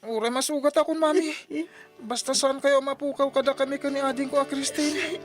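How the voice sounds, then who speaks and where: High, distressed young boy played by a woman. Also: fairly even intonation, a little fast, soft background music, lightly acted. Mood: pleading. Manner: urgent, pleading. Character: distressed young son begging his mother. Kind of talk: old radio drama acting in Ilocano.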